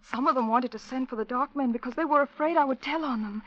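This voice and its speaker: A woman acting a timid, unhappy young servant in a 1940s radio drama, breathy and faltering. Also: high voice, quick pace, fairly bright tone. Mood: sad, hushed. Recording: muffled, noisy old radio recording.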